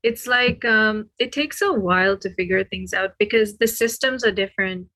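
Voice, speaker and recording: A woman from Sri Lanka speaking as a guest in a casual podcast chat. Her voice sits at a middle pitch, and she talks informally and tentatively, checking she is being understood. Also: normal speed, bright, forceful tone.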